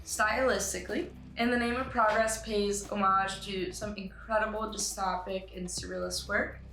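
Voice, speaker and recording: A woman's voice: mid-range voice, fairly even intonation, normal speed, fairly bright tone. Earnest woman presenting, roomy sound with music. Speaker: animator and writer pitching a short film. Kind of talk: scripted pitch presentation to camera, with light asides. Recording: roomy echo with music behind.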